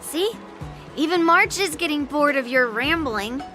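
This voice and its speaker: A woman's voice: high-pitched, lively intonation, measured pace, music in the background. A woman voicing a brash, confident young female sword master in an anime-style game, blunt and teasing. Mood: amused, teasing.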